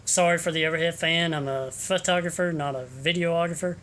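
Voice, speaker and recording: A man gives a rambling, hesitant sales pitch into his phone camera, with many ums and restarts, in a room with an overhead fan running. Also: fairly even intonation, a little fast, fairly bright tone, slight background noise. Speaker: local photographer selling prints.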